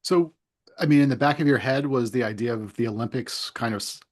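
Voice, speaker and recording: A man's voice: mid-range voice, fairly quick. A man with a mid-range voice works as an interviewer. He puts his questions casually and conversationally, feeling his way through the wording with small hesitations.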